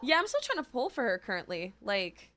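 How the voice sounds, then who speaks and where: A woman's voice: on the high side, big pitch swings, brisk, over music. A woman streamer giving casual, slangy reactions to a game, exclamatory and playfully repeating phrases. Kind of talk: casual excited reaction commentary.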